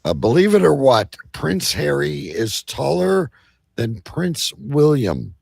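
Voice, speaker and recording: A man hosting a bantering comedy quiz, halting as he sets up questions, then reading them out emphatically. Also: fairly high pitch, sing-song, vocal fry, darkish tone, breathy edge, no echo, clean studio sound. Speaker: quiz host.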